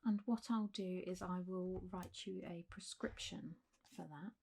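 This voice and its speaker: A woman doing a soft, half-whispered ASMR roleplay as a gentle, reassuring doctor talking a patient through care, in a close recording with faint hiss. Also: on the low side, fairly even intonation, vocal fry, slightly rough. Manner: gentle, reassuring, unhurried doctor's bedside talk. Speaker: ASMR performer playing a clinic doctor.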